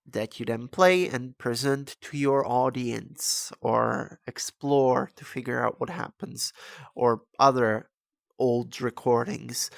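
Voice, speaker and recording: A young man from the Czech Republic, a native Czech speaker, talks in a casual, meandering video-essay style. His delivery is slow and halting, with plenty of vocal fry. The sound is dry and close, with little room on it. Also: mid-range voice, dry recording. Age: 20s. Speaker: video creator and host.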